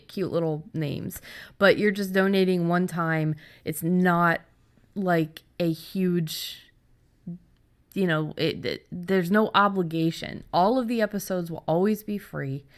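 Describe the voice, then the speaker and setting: Woman podcast host w/ lowish voice, chatty n rambly, informal. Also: clear, resonant tone. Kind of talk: casual podcast chat.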